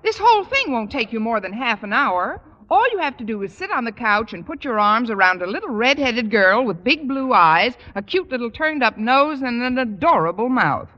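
An actress playing a wry, quick-witted schoolteacher in a 1950s radio sitcom, with dry wisecracking delivery. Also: female voice, on the high side, very animated, pushed and bright. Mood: scheming. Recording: dull old radio recording with faint hiss.

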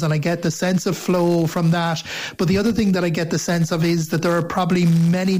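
A man's voice: a little high, a little mellow. An Irish man presenting a community radio show, interviewing a guest with effusive, formal courtesy.

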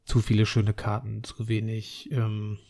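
A man's voice: on the low side, measured pace, creaky. A man, chatting casually and rambling on a solo podcast while he has a cold. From Berlin, Germany. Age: 30s. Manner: relaxed, rambling, many fillers and restarts. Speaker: podcast host.

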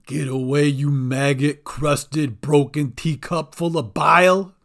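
A man's voice: a little high, steady tone, slow, vocal fry, fairly bright tone, exaggerated voice. A man voicing a brash, foul-mouthed male goblin in a storybook, with a punchy, slangy, defiant delivery. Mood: hissing spite. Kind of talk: storybook character voice.

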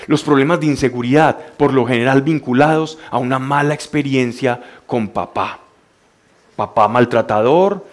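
A man, likely in his thirties, giving a motivational talk in an emphatic, didactic way, repeating phrases for stress. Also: a little high.

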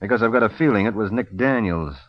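An actor playing a wry, defiant detective hero in an old radio crime drama, with a dry, cocky way of talking. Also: male voice, on the low side, quick pace, no echo, natural voice. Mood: probing. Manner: dry, wisecracking. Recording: dull old radio recording.

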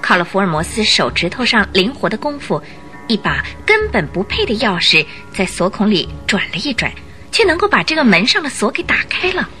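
一位女旁白以富有戏剧性的方式讲述广播剧故事。. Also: female voice, medium pitch, sing-song, fairly quick, bright, forceful tone, faint music behind. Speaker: narrator. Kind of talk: dramatic scripted storytelling narration.

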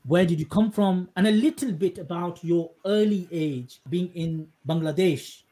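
A man with a fairly high voice speaks as a podcast host. He is effusive and emphatic, taking his time with wordy, conversational questions and remarks. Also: unhurried, mellow. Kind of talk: conversational interviewing.

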